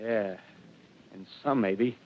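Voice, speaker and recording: An actor playing a desperate male ex-militiaman who rambles feverishly about his survival scheme, swinging between hushed and loud. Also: fairly low pitch, slow. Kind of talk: 1930s radio drama acting. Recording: dull lo-fi vintage radio broadcast.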